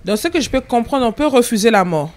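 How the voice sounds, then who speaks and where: A woman's voice: on the high side, animated, quick pace, a little mellow. A woman hosting an interview who sums up and rephrases her guest's points. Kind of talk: talk show interview.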